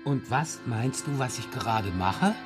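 A man's voice: mid-range voice, animated, measured pace, over music, lightly acted. A man playing a playful father in a radio play, spinning absurd stories in a whimsical, improvising manner. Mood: dry, teasing.

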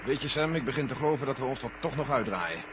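An actor playing an authoritative general running a space mission in a vintage science-fiction radio drama, speaking deliberately. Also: male voice, medium pitch, lively intonation, a bit bright, lightly acted. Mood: commanding, urgent. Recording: noisy, dull old radio recording.

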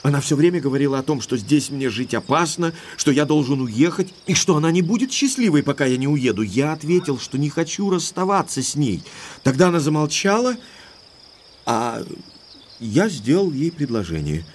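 An actor playing an indignant, lovestruck young English baronet in an audio drama, speaking emphatically like an exasperated gentleman. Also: a little high, very animated, normal speed, a little hiss or hum.

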